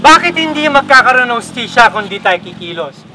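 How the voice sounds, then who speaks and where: Very high, bright-voiced man questioning a crowd. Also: big pitch swings, a little fast, slight background noise, rough recording. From Philippines. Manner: pointed, rhetorical questions. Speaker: protest speaker. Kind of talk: rhetorical protest speech. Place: outdoor protest rally.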